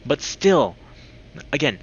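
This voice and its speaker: A man reading a visual novel aloud as a streamer, with expressive reactions. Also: fairly high pitch, slow pace. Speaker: video game streamer. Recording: dull lo-fi mic with clear background noise.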